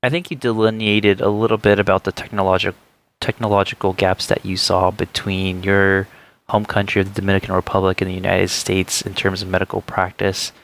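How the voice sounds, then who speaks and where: A man's voice: low-pitched, level tone, a little fast, a bit bright. A young man hosting a student podcast, giving a formal, polite introduction and asking interview questions. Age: young adult.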